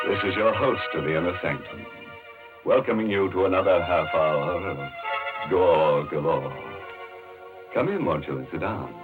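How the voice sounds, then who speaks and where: A man hosting and narrating a 1940s radio horror show in a sly, theatrical, ghoulishly playful way. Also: very deep voice. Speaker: host and narrator of a mystery show. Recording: dull vintage radio recording with music. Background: music.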